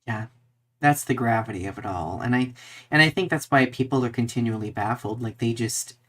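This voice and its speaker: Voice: medium pitch, brisk, fairly bright tone. A podcast co-host chatting through current events on a live show in a conversational, informal way.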